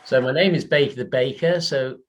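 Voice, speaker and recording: A man, a panel guest who talks in a halting way with many restarts, over a video call. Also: medium pitch. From England.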